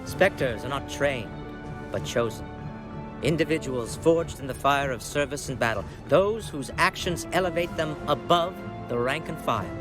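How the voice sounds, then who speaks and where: Game councilor, male alien played by a man: intellectual, precise, condescending, clear music underneath. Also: medium pitch, animated, moderate pace, close to an everyday voice. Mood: solemn. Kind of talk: video game cutscene acting.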